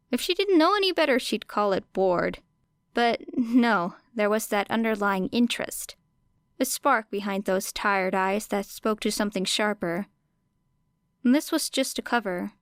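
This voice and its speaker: A woman narrates fiction for an audiobook with a smooth, resonant voice. Her pitch is in the middle for a woman. The recording is dry, with no room sound. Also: no echo.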